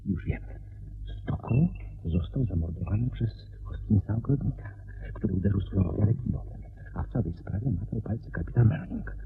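An actor playing a detective's earnest, naive sidekick in a radio play, formal and pompous. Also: male voice, fairly low pitch, normal speed, gravelly. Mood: confident, naive. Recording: tinny band-limited radio recording.

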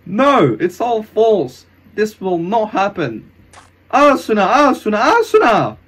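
A man playing an anguished, devoted young swordsman in an amateur anime fan drama, with melodramatic, halting delivery and stilted English over music. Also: medium pitch, husky. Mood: panicked.

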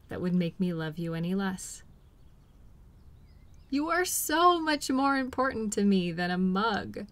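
A woman playing a caring, patient girlfriend in an intimate role-play audio, speaking gently and reassuringly to comfort her partner. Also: medium pitch, no room sound, lightly acted. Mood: affectionate, reassuring.

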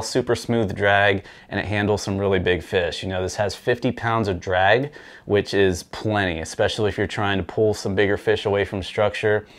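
A man in his thirties talks through a fishing reel in an easy, chatty way, dotted with fillers. His voice sits a little low. He is seated in a small room, speaking to the camera. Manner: relaxed, conversational, frequent fillers. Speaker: fishing gear reviewer. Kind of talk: casual product review to camera. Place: small indoor room with rod racks.

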